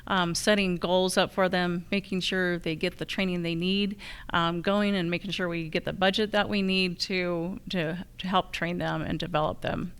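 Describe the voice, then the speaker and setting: Very clear, lowish-voiced woman candidate. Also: steady tone, normal speed, a bit bright. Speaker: challenger candidate for county assessor. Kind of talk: forum answers. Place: live public forum on a college campus.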